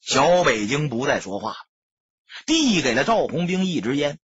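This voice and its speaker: Husky male storyteller with animated delivery. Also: a little high, moderate pace, no room sound, dull audio. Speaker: audiobook narrator. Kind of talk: dramatic scripted storytelling.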